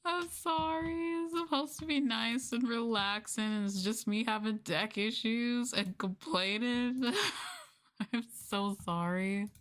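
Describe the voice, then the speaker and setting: A young adult woman chatting casually on a livestream meant as soft ASMR, in a conversational, apologetic venting way. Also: on the high side, fairly even intonation, slow pace. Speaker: streamer, ASMR VTuber.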